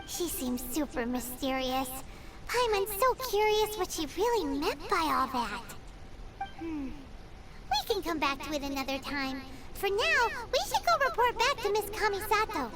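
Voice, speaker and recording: A tiny, curious fairy companion from a video game. The voice is extremely high and childlike, with excitable, lively swings. Loud music plays behind it. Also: female voice, normal speed. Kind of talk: English video game voice acting.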